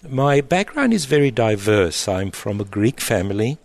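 A middle-aged man, an abbot speaking in an interview in a thoughtful, halting way with frequent restarts. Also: lowish voice, very animated, gravelly, no echo. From Egypt, raised in Australia. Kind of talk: reflective interview answers.

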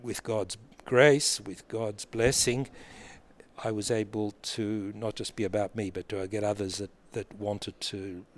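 A middle-aged man with an Australian accent, an abbot, speaking thoughtfully in an interview with many pauses and fillers. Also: lowish voice, fairly even intonation. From born in Egypt, raised in Australia. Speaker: abbot of a monastery. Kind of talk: conversational interview. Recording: faint background noise.

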